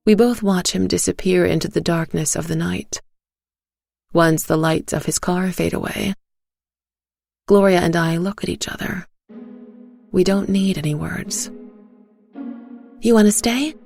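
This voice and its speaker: A woman narrating a dark first-person story in a slow, hushed, measured way. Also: lowish voice, fairly even intonation, vocal fry, no room sound, studio quality, faint music behind. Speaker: narrator and voice actor.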